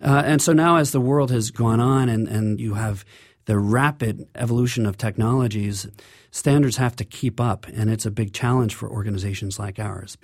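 A man's voice: lowish voice, moderate pace, dry recording, clean studio sound. A man speaking as an engineer guest in an interview, explaining things in an earnest way.

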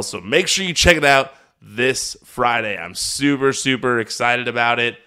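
A man's voice: mid-range voice, sharp tone, clear, resonant tone. An American man hosting a podcast, giving a casual, chatty recap with enthusiastic, emphatic delivery. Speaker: podcast host. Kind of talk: casual solo recap commentary on wrestling.

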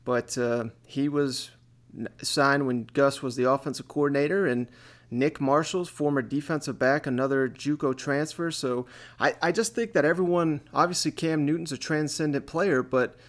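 A man's voice: fairly high pitch, steady tone, normal speed. A man hosting a sports podcast, talking casually with a co-host.

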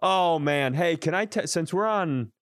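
Animated swearing male podcast storyteller. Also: a little high, a little slow, dry recording, clean studio sound. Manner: animated, swearing, restarts mid-sentence. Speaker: comedian podcast host. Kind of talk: casual storytelling chat.

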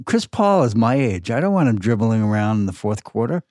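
An older man chatting casually about sports as a podcast guest, halting and repeating his words. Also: on the low side, very animated, a little mellow, dry recording, studio quality.

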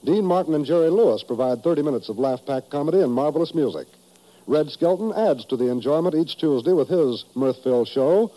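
A man's voice: fairly high pitch, dark tone, low-quality recording. A man with an American accent reading a scripted network promo as a polished 1950s radio announcer.

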